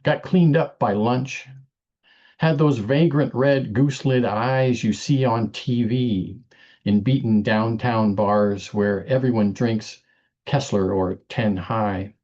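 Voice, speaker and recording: A man reads his poetry at an online open mic, and his voice comes through a dull, lo-fi video call. He talks slowly in a lowish, mellow voice. Before the poem he chats casually with plenty of fillers. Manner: casual, filler-heavy chat before a deliberate reading. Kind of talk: poetry reading at an online event.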